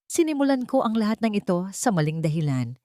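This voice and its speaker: A woman's voice: mid-range voice, big pitch swings, brisk, warm tone, dry recording, clean studio sound, lightly acted. A woman voicing an earnest, lovestruck wealthy man in an emotional, pleading manner for a romance story. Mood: remorseful, tender.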